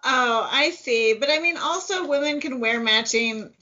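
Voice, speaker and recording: A woman with a strong Midwestern accent hosts a podcast and steers the talk calmly. Her voice is high and bright, with a forceful edge. The audio is dull and lo-fi. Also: unhurried. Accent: Midwestern American. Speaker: podcast co-host. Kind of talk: casual chat, wraps up the episode.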